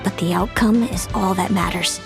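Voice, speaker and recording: Un'attrice che interpreta in un videogioco una giovane rivale fredda, controllata e amara. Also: female voice, fairly low pitch, fairly even intonation, normal speed, gravelly, over music. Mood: smug, cool. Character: cool, commanding young female rival with bitter edge. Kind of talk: video game voice acting.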